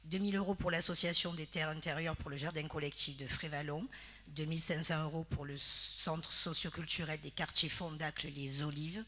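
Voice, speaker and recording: Bright-voiced woman reading a council report. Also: low-pitched, steady tone, normal speed. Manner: formal, reads figures steadily. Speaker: council member presenting reports. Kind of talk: reading a formal report aloud. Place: municipal council chamber.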